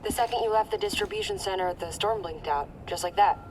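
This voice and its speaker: A woman's voice: mid-range voice, a little fast, slight background noise, lightly acted. A woman playing a caring, lonely radio contact. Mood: concerned. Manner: concerned, gentle over the radio. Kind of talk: video game cutscene acting.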